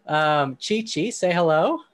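Relaxed man hosting a podcast. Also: on the high side, takes it slowly, no echo. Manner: relaxed, with frequent ums and uhs. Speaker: podcast host. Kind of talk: podcast discussion and scripture reading.

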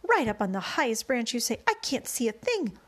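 A woman's voice: a little high, big pitch swings, normal speed, husky. A woman voicing a fat, greedy aunt in a storybook reading, with a plummy, gossipy manner. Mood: scheming. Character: greedy fat pulpy middle-aged aunt.

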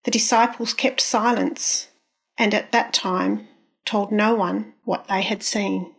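Husky woman reading scripture slowly. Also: medium pitch, steady tone. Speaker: scripture reader.

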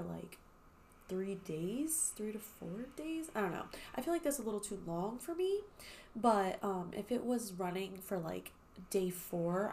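A woman's voice: mid-range voice, a little mellow, slight background noise. Chatty congested woman podcast host. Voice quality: slightly nasal, congested. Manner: chatty, swears freely, many fillers like "um" and "like". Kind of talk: casual chat.

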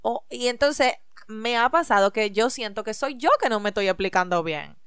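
A woman's voice: on the high side, expressive, moderate pace, fairly bright tone, clean tone, no room sound, studio quality. A woman co-hosting a podcast, talking in a reflective, emphatic and encouraging way. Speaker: podcast co-host. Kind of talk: casual chat.

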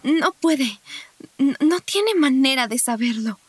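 A woman's voice: sits high, expressive, slow, husky, no echo, exaggerated voice. A woman playing a defensive, indignant married war refugee in an audio drama. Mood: anxious, defiant.

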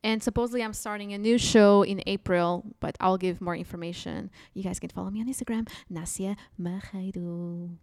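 A woman's voice: medium pitch, fairly quick, few pauses, no echo, clean studio sound. Portuguese-accented woman, chatty comedian guest. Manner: animated, chatty, with frequent restarts. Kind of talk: casual chat.

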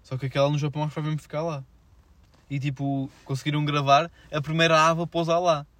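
A young man with a European Portuguese accent and a fairly high voice. He talks very fast in casual, unscripted podcast chat, leaning on slang and filler words. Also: flat delivery, a bit bright, no echo. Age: young adult. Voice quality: slangy, filler-heavy speech. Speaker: podcast co-host.

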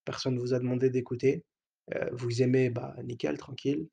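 A man's voice: mid-range voice, level tone, moderate pace, gravelly, warm tone, husky, dull audio. A young man hosting his own podcast in a casual, provocative monologue, joking around in crude slang with lots of fillers and hesitations. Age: young adult. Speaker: podcast host.

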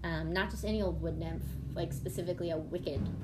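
A woman's voice: on the low side, fairly even intonation, a little fast, background noise. A woman hosting a podcast, explaining and telling things in an informal, irreverent, sweary conversational style. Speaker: podcast host. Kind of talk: casual conversational storytelling and explaining.

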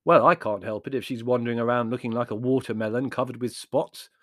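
A man's voice: mid-range voice, sing-song, a little fast, gravelly, dry recording, clean studio sound, voice pushed up. A man voicing a witty, dismissive young man in a storybook reading, airy, mocking and irritable.

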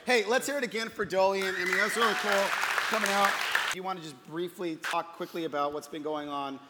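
A man's voice: high voice, steady tone, a little fast, a loud crowd, heavy background noise. A man pastor hosting his church service, joking with the congregation and then praying earnestly, speaking on a stage with a handheld mic. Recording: reverberant hall with audience.

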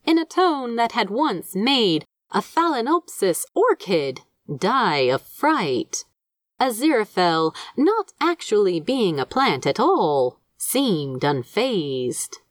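A woman narrating a scripted piece of fiction in an expressive storytelling manner. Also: mid-range voice, dry recording, clean studio sound.

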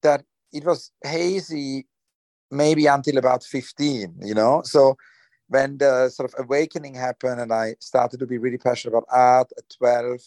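A man's voice: medium pitch, fairly even intonation, moderate pace, a little mellow, no room sound. A man from Switzerland, answering interview questions hesitantly with many fillers and restarts. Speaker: guest. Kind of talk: conversational interview.

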